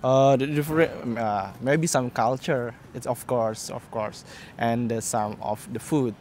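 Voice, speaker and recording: A man from Indonesia with an Indonesian accent, answering casually in halting English and often repeating words. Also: medium pitch, fairly even intonation, darkish tone. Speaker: interviewee. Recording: clear outdoor traffic noise.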